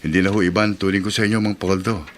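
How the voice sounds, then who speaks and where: A man's voice: low-pitched, level tone, rapid-fire, fairly bright tone, slight background noise. A Filipino actor playing a jovial, womanizing auto shop boss who cajoles politely in a radio drama. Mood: jovial, generous. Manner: cajoling, polite to his elder. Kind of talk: Tagalog radio drama acting.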